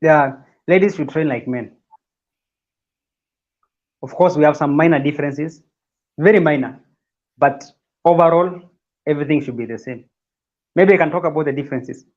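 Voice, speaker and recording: A man's voice: fairly high pitch, moderate pace, pauses often. Kenyan man explaining fitness over a dull line. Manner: repeats words while explaining. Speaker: fitness guest. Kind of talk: casual explanatory talk.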